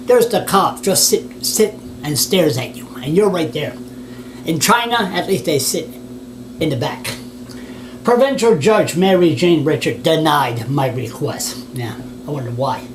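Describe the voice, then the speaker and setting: A man's voice: a little high, lively intonation, a little slow, noisy background. Indignant man reading a complaint. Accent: Canadian. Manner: indignant, plain-spoken, with asides and restarts. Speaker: blogger. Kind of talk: reading a written complaint aloud.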